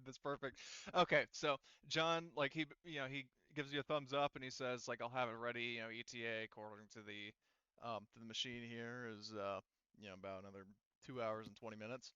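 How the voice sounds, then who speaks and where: A man narrates a tabletop roleplaying game in a bright, smooth voice. His delivery is conversational and halting as he improvises. The sound is close and dry, with slightly muffled top end. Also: medium pitch, dry recording. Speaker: game master.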